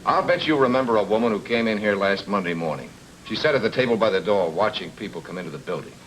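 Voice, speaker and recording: Hard-boiled detective, deadpan wisecracks, lowish swinging pitch, old radio drama, noisy recording. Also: male voice, quick pace. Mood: dry, sardonic. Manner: deadpan, sardonic. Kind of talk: film noir radio drama acting.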